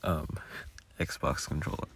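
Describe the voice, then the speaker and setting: A man's voice: takes it slowly, a bit bright, slight background noise. A man who makes audio roleplays, giving casual, rambling behind-the-scenes commentary with muttered asides.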